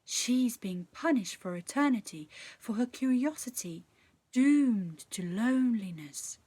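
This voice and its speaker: A woman voicing a small, anxious fairy sprite in a children's story, pleading and urgent with hushed, dramatic warnings. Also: fairly high pitch, expressive, unhurried, husky. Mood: ominous.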